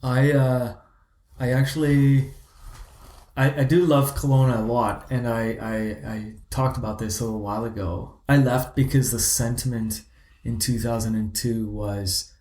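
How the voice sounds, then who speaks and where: A man's voice: medium pitch, little pitch movement, moderate pace, darkish tone, echoey room. A man from Zimbabwe, a guest in an interview, talking casually and often restarting his sentences. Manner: hesitant, many restarts and fillers.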